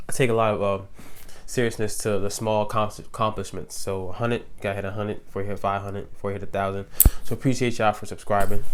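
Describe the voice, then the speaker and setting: A man's voice: fairly low pitch, a little hiss or hum. A young man with a cold, sniffling, explaining astrology in loose, slangy casual talk with lots of fillers. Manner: laid-back, slangy and loose, many fillers. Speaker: astrology YouTuber.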